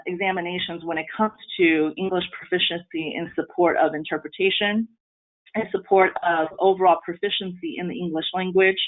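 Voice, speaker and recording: A woman from Taiwan whose first language is Mandarin Chinese, presenting a training webinar in an earnest way with frequent ums and restarts. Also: fairly low pitch. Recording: tinny phone-line audio.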